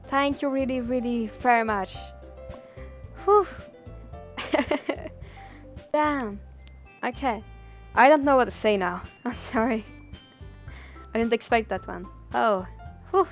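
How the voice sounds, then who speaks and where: Her voice sits fairly high, over music. She is a woman from Belgium, a native Dutch speaker with that accent in her English. She streams live, chatting and reading game text aloud, expressive and giggly, and trips over her words now and then. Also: takes it slowly, pauses often.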